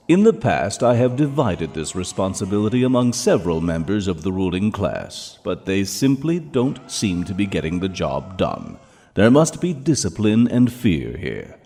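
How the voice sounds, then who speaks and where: An actor playing a stern, menacing ruler of Hell in an audio drama, speaking in a measured, commanding and pompous way. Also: male voice, sits low, very animated, darkish tone, exaggerated voice. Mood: coldly commanding. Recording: long hall reverb.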